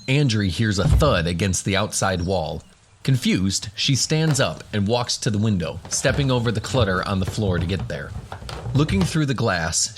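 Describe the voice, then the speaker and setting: A man's voice: on the low side, a little hiss or hum. A man narrating a scripted fantasy audio drama in the role of game master.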